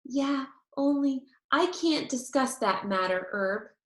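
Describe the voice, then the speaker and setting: Lady doing excitable little kid girl from a storybook, high voice, braggy, bit echoey. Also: slow pace. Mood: disappointed, secretive. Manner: eager, childlike, emphatic. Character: excitable, boastful little girl, kindergarten or first-grade age. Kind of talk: children's storybook read-aloud. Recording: roomy echo.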